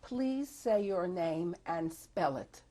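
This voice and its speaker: Husky low-voiced woman interviewer. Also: animated, dark tone. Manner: measured and formal. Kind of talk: formal interview questions.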